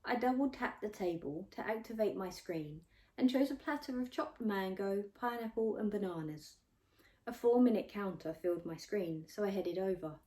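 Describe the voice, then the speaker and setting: A woman reads a story aloud as its author and narrator. Her delivery is scripted and expressive, in a medium-pitched voice suited to a first-person audiobook. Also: normal speed. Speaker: author reading own novel aloud.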